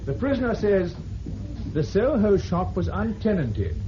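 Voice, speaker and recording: Highish-voiced, declamatory British prosecutor. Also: male voice, very animated, a little slow. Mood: formal, deliberate. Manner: declamatory, formal. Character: formal British Crown Prosecutor, male, methodical. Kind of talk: vintage radio drama, reading aloud in court. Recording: muffled old radio recording, faint hiss.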